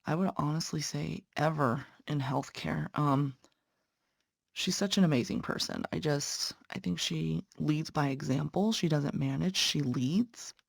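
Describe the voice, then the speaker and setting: A woman's voice: sits low, a little slow, vocal fry, dry recording, clean studio sound. A young woman, a patient care tech and nursing student, chatting earnestly to viewers while stitching, sounding congested. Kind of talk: casual chat while stitching.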